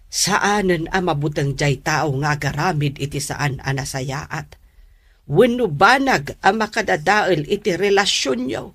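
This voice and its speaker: A woman acting a stern, concerned middle-aged mother in a radio drama, admonishing in a deliberate way. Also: on the low side, fairly bright tone, husky, heavily acted.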